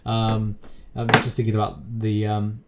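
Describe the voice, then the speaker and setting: A man's voice: on the low side, monotone, a little slow, very noisy. A man hosting a gaming channel, giving casual, unscripted news commentary in a rambling, repetitive, informal way.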